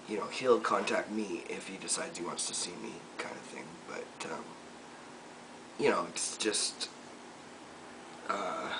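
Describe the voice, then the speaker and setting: Young guy talking low and slow into a video diary, really deep voice, mic kinda far off with noise behind. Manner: reflective, soft-spoken, many fillers. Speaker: vlogger. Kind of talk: personal video diary update. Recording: distant mic with noticeable room noise.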